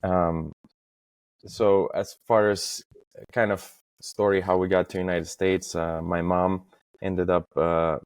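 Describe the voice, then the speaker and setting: Deep monotone guy from estonia, lots of uhs, dry close mic. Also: no room sound. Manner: halting, frequent fillers and restarts. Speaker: podcast guest. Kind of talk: casual interview talk.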